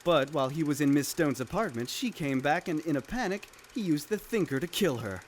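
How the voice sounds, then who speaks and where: A man's voice: fairly high pitch, animated, fairly quick, slight background noise, lightly acted. A man voicing a cocky, bumbling young defense attorney with flippant bravado in a comedic cartoon parody. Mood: casual recounting. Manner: flippant, sarcastic, stammering bravado.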